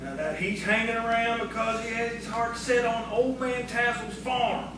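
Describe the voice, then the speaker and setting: A man's voice: very high voice, fairly even intonation, moderate pace. A man acting in audio theatre as a folksy rustic prankster, a sidekick who eggs on his boss. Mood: needling. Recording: echoey, distant, noisy stage recording.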